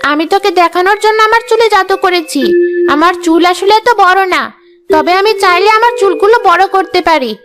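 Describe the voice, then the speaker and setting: A scheming cartoon she-ghost with long enchanted hair, played by a woman in a piercingly high, squeaky voice. She coaxes sweetly but turns sly and vengeful. Cartoon music plays steadily behind her. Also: a little fast. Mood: coaxing. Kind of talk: cartoon voice acting. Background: background music.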